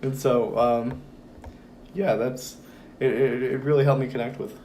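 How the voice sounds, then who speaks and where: Hoarse young guy, rambling guest on a casual chat show, some light hiss in the background. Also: medium pitch, measured pace. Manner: relaxed and rambling, many fillers. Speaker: podcast guest. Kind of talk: casual conversation.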